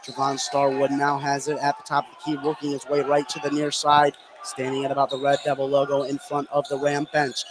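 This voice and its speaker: A man's voice: mid-range voice, monotone, brisk, hardly any pauses. A man doing live play-by-play basketball commentary in a gym, his delivery swelling as the action heats up. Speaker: play-by-play announcer. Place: indoor high school basketball gym. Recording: clearly noisy gym ambience. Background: gym noise.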